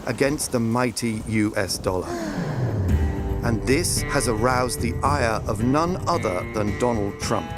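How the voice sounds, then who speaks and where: A man's voice: mid-range voice, measured pace. Male explainer narrator over music.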